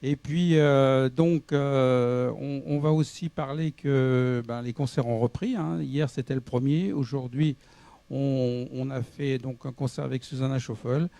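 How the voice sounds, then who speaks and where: A man with a French accent hosts a studio music show, searching for his English words and filling gaps with "uh". His voice is dark and mellow, and the sound is dry and close. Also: mid-range voice, steady tone, vocal fry, dry recording. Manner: halting English with many fillers. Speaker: TV show host. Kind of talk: interviewing guest.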